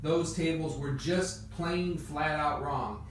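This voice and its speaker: A man's voice: a little high, slow pace. A man playing a confident, wry inventor in an amateur radio play. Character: Wilbur Wright, confident, wry adult male inventor. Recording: echoey room, distant mic, noisy background.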